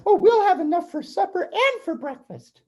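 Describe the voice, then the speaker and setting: A man voicing a gentle, excitable woman in a puppet show story, full of exclamations. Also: very high voice, moderate pace, pitched above the performer's own voice. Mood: excited, gentle.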